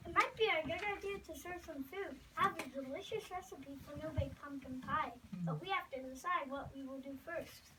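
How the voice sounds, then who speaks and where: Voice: high voice, fairly quick, few pauses. A second-grade child reading lines in a scripted classroom play, with a distant mic and noisy sound. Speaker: second-grade student performer. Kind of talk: scripted classroom readers theater. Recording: distant mic, clearly noisy school recording.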